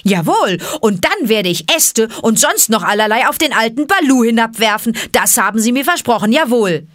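A woman voicing a young boy raised in the jungle, excited, insistent and pleading. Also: mid-range voice, moderate pace, dry recording, studio quality, voice pushed up, natural voice.

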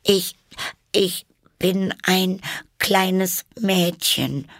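A bewildered little fairy-tale girl, played by a man, with the pitch lifted well up. The child sounds earnest and plaintive, quick to protest. The recording is dry. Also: a bit bright, no echo, exaggerated voice. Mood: hesitant. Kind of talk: storybook character voice.

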